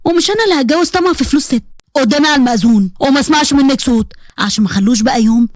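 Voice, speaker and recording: A woman voicing a sarcastic, grieving young bride in an audio story, with sharp, indignant delivery. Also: high voice, very fast, lightly acted. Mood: defiant, sarcastic.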